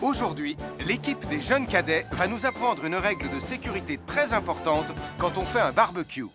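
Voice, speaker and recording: A man playing a friendly, responsible cartoon firefighter, teaching safety in a clear, reassuring way for a French children's dub. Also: high-pitched, animated, normal speed, loud music behind the voice. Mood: instructive, upbeat. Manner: didactic, reassuring, clearly articulated. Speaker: firefighter character giving safety advice.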